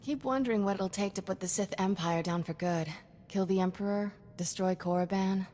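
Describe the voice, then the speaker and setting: An actress playing a sassy, cocky young Jedi sidekick in a video game, with wry, clipped banter. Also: female voice, medium pitch, a little fast, warm tone, long reverb tail, slight background noise, lightly acted. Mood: playful, cocky.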